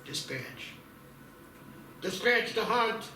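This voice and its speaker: A man's voice: high-pitched, lively intonation, very slow, pushed and bright, faint music behind, full character voice. A man playing a harried policeman talking over the radio in an amateur screenplay table read. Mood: businesslike. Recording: echoey, distant mic, faint room noise.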